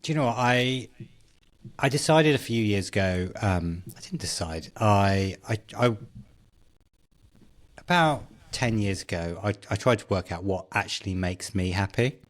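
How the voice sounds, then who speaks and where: Middle-aged British man, lowish voice. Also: lively intonation, a little slow. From Britain. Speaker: podcast guest, tech founder. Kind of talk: casual anecdotal chat.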